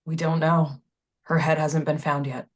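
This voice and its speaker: A determined, plain-spoken character in a thriller, read by a woman at a table read. Her voice is a touch low and direct. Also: little pitch movement, measured pace. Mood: earnest.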